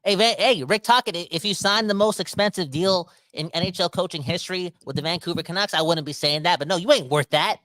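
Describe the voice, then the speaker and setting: A man, rambling through a casual livestream rant full of slang. His voice is bright and forward, and he talks straight to his viewers as he reads their comments aloud. The close mic is dry. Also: high voice, fast talker, few pauses, no echo. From Canada. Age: 30s. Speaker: podcast host reading live chat comments.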